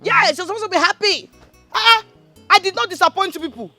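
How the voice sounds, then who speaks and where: Actress as a high-voiced, lively Nigerian Pidgin healer, defiant and mocking. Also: female voice, quick pace, no echo, exaggerated voice. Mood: indignant. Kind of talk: Nollywood drama acting. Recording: film audio with faint music behind.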